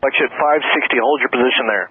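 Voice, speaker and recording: A man's voice: medium pitch, fast, husky, no room sound, narrow, tinny audio. A man working as an air traffic ground controller, giving clipped procedural instructions over the radio. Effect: aviation radio.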